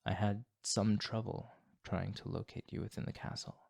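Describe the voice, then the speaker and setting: A man playing a courteous, tormented vampire fighting his bloodlust in an ASMR roleplay, speaking formally and apologetically in a soft, intimate way. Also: on the low side, steady tone, moderate pace, vocal fry, no echo, clean studio sound. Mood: weary, protective.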